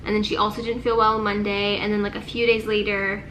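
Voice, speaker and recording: A woman's voice: mid-range voice, flat delivery, a little fast, a bit bright, a little hiss or hum, low-quality recording. Congested, nasal-sounding young woman vlogging. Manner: chatty, sprinkles speech with "like". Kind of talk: casual chat to camera.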